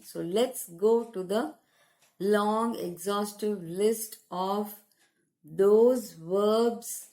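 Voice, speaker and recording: Indian woman teaching English slowly. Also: medium pitch. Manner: slow, deliberate teaching delivery, switching into Hindi. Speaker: language teacher. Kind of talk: spoken English lesson.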